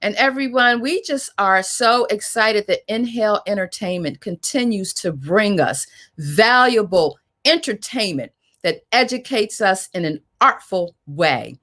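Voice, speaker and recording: A woman's voice: mid-range voice, animated, unhurried, fairly bright tone. A woman hosting and moderating a panel discussion in an informal style. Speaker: host and moderator.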